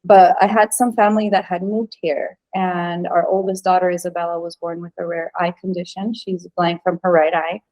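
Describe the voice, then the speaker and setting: A woman's voice: lowish voice, little pitch movement, normal speed, few pauses, darkish tone, rough recording. A woman in her thirties from Venezuela, chatting casually as a podcast guest with many fillers and restarts. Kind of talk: casual interview chat.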